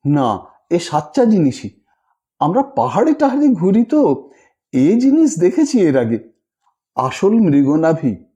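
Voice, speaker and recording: A man voicing a gentle, wise, melancholy female wandering ascetic in a story, calm and softly admonishing. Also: fairly high pitch, slow, long pauses, dull audio. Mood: gentle, instructive.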